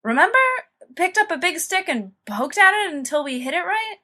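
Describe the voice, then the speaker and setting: A woman's voice: very high-pitched, very animated, moderate pace, sharp tone, pitched above the performer's own voice, heavily acted. A woman voicing a wide-eyed, earnest teenage boy sidekick in an audiobook. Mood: earnest, awed. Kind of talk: dramatised fan-fiction audiobook reading.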